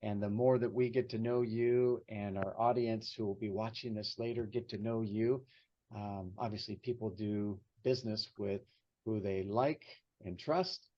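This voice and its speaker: A man's voice: on the low side, fairly even intonation, a little slow, dull audio. Upbeat man hosting a podcast. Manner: upbeat, hesitant with many fillers. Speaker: podcast host. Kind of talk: casual interview chat.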